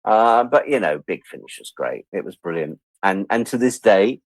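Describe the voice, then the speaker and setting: Low-voiced British man telling anecdotes. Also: moderate pace, no room sound. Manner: animated storyteller who acts out remembered exchanges; self-deprecating, joking. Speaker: interview guest, audio drama producer and director. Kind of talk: casual anecdotal interview talk.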